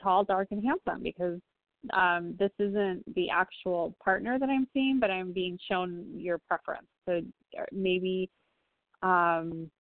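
A woman medium speaks softly and reassuringly, pausing often as she searches for words. Her slightly low voice comes through a narrow, phone-like line. The sound is dry, with no room echo. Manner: gentle, reassuring, hesitant with many ums. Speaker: psychic medium giving readings. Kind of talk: phone-in psychic reading on a talk show.

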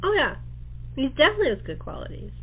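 A woman's voice: high voice, very animated, fast talker. A woman hosting a casual interview chat, talking in a chatty, easygoing way. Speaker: talk show host. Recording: tinny phone-like line.

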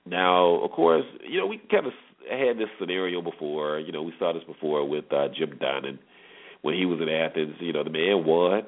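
Deep-voiced sports host on tinny phone line. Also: male voice, normal speed. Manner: conversational, with rhetorical questions and repetition for emphasis. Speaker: sports talk show host. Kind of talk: solo sports commentary. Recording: tinny phone-line internet radio audio.

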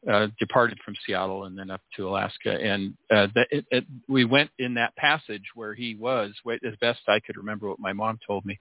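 Man in his sixties, interview guest, halting and rambling delivery, tinny phone line. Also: lowish voice, fairly even intonation, dry recording. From United States. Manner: rambling, many restarts and fillers. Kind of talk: casual interview chat.

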